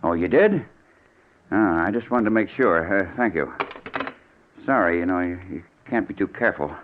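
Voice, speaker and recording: A frightened, suspicious man in an old radio thriller. His voice stammers and pleads with big swings in pitch. The recording is thin and narrow, like vintage radio. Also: animated, normal speed, background noise. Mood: suspicious, nervous. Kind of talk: 1940s radio acting.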